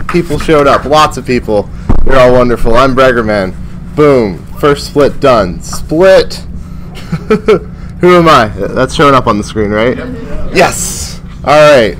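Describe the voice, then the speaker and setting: A man presenting an informal convention panel in a playful, exclamatory way with a loud voice. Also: medium pitch, expressive, slow, low-quality recording. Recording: live room with crowd noise. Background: audience murmur and room noise.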